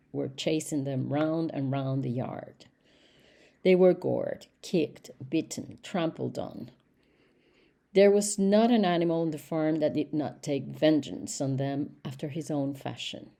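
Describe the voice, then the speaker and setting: Woman reading a book out loud, low mellow voice, steady and measured. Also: gravelly. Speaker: audiobook reader. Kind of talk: reading a novel aloud. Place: educational reading recording.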